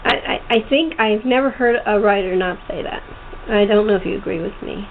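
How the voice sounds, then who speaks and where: A woman's voice: mid-range voice, brisk. A woman hosting a casual interview, talking chattily about writing as a writer herself. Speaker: podcast host interviewing a guest. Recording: thin phone line with hiss.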